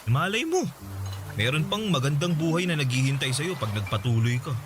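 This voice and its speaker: A man's voice: on the high side, very animated, normal speed, background noise. A man playing a supportive, teasing cook in a casual conversational radio drama. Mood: encouraging. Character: supportive, teasing male cook chatting with a coworker. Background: music.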